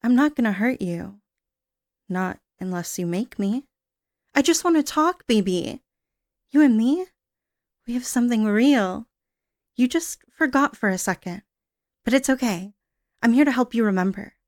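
A woman's voice: on the high side, lively intonation, unhurried, long pauses, dry recording, clean studio sound. A woman playing a cooing, obsessive young lover. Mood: cooing menace. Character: obsessive unstable young woman, clingy and menacing. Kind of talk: audio roleplay monologue.

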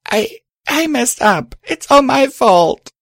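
Shrill, distraught guilt-ridden young mare. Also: female voice, expressive, slow, full character voice. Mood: tearful, pleading. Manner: anguished, pleading outbursts.

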